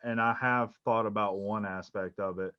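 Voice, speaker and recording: A man who organizes a convention, explaining his plans in a measured way. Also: on the low side, steady tone, clean tone, no room sound, highs cut off. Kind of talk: casual video call chat.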